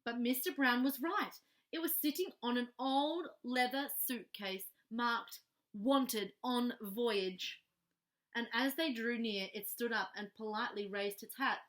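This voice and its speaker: A woman reading a children's story aloud in an expressive, animated way, pausing to put questions to young listeners. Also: a little high.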